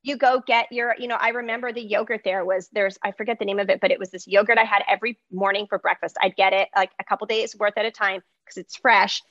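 A woman's voice: mid-range voice, fast, few pauses, no room sound, lo-fi sound. A woman whose first language is Greek, telling stories in a casual conversation with lots of fillers. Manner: animated storytelling, frequent fillers.

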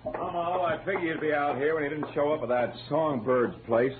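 An actor playing a plain-spoken police detective lieutenant in a 1940s-style radio drama. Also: male voice, a little high, fairly even intonation, brisk, close to an everyday voice. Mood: puzzled. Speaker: supporting actor. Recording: tinny, noisy old radio broadcast.

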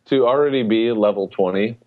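Low-voiced man on a phone-like line. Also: brisk, no room sound. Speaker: podcast co-host. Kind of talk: casual podcast chat. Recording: thin phone-like call audio.

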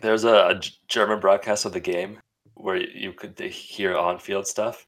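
A man co-hosting a podcast in casual chat, teasing the others with quick questions. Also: deep voice, fairly even intonation, a bit bright. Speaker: podcast co-host.

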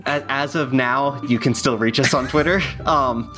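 A man's voice: medium pitch, fairly even intonation, a little slow. A man with a mid-range voice co-hosts a podcast in a casual, conversational way. He moves between chat and announcements, with faint music behind him. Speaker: podcast co-host.

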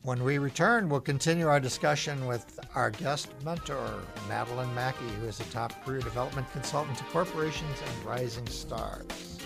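A middle-aged man hosting a radio talk show, interviewing a guest in a relaxed, conversational way. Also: mid-range voice, moderate pace, creaky, hardly any pauses, loud music behind the voice.

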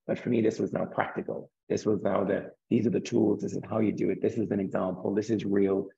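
A man being interviewed, talking earnestly about his path and often restarting his words, with a croaky voice. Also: lowish voice, little pitch movement, fairly quick, dark tone, dull audio. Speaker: guest, property investor. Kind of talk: interview conversation.

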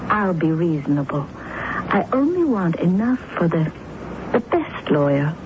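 A woman's voice: fairly low pitch, sing-song, unhurried. A woman playing a shrewd, manipulative, coaxing actress in a radio drama. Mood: bargaining, threatening. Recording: muffled, noisy old radio recording.

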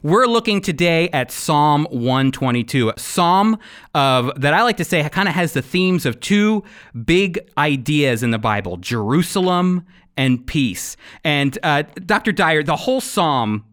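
A man's voice: on the high side, big pitch swings, measured pace, a bit bright. A man hosting and teaching on a radio Bible program, speaking in an earnest, emphatic, sermon-like way.

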